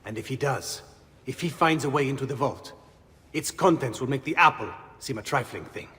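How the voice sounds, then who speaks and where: A man's voice: medium pitch, moderate pace, pushed and bright, lightly acted. Cautionary, sober male ally, acted by a man, formal delivery, middle register, reverberant video game drama. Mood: warning. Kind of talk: video game cutscene acting. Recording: long hall reverb.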